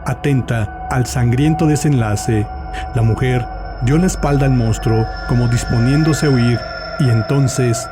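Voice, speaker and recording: A man's voice: mid-range voice, measured pace. A man giving a dramatic scripted narration as an audiobook narrator. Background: music.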